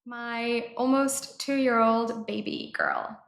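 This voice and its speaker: A woman's voice: fairly high pitch, wildly swooping pitch, slow pace, vocal fry, fairly bright tone. A woman from Mexico, a native Spanish speaker, talking casually as an interview guest and startup founder. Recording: roomy, echoey sound.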